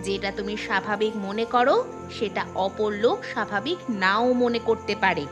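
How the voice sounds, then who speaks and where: A woman's voice: medium pitch, normal speed, lightly acted. A woman voicing a suspicious, sarcastic farmer's wife in a moral-story cartoon. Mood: suspicious, insistent. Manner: sarcastic, insistent. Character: suspicious, sharp-tongued village farmer's wife. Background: music.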